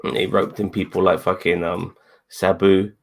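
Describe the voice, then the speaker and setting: A man with a fairly low pitch and plenty of fry in his voice talks casually as a co-host. He rambles, repeats his phrases and drops swear words as he goes. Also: level tone, moderate pace. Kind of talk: casual wrestling review chat.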